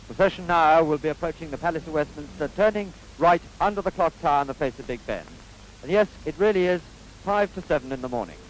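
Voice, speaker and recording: High-voiced British newsreel man, formal and stately. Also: lively intonation. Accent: British Received Pronunciation. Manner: formal, stately, descriptive delivery in measured phrases. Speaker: newsreel commentator. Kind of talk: 1950s British newsreel commentary. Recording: noisy vintage newsreel soundtrack.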